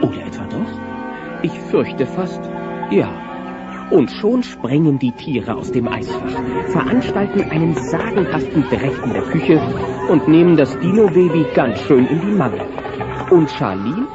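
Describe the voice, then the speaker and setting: Lively male children's story narrator. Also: on the high side, unhurried, loud music behind the voice. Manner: dramatic, mock-suspenseful. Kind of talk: animated storytelling narration for children's audio play. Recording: muffled old cassette audio play.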